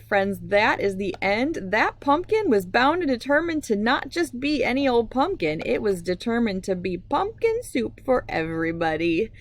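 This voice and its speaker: A woman hosting a children's read-aloud, narrating expressively and speaking directly to young listeners. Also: mid-range voice. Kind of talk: animated storybook narration for children.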